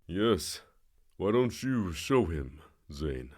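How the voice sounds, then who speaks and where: An actor playing a calm, commanding trainer in an audio drama, coolly insistent, terse and measured. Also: male voice, low-pitched, heavily acted. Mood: calm, pointed.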